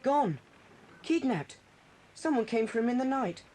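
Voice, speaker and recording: An actor playing a brave, steady schoolboy who takes charge, in a children's audio drama. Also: medium pitch, a little slow. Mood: grim, steady. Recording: slightly hissy 1980s cassette tape.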